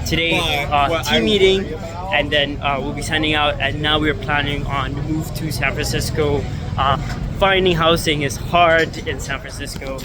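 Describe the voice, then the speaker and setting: A young man from Ethiopia, a startup founder, talking casually to camera in a rambling way full of fillers and restarts. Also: on the high side. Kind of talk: casual vlog talk to camera. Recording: city street noise behind.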